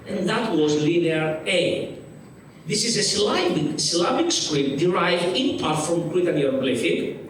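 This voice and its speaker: A man with a Greek accent reads a scholarly paper in a fairly high voice, often stopping to restart or repeat a word. He sounds distant from the mic, and a big echoing auditorium rings around his words. A faint room hum sits underneath. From Greece. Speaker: lecturer. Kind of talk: academic conference lecture read from a paper. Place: large museum auditorium.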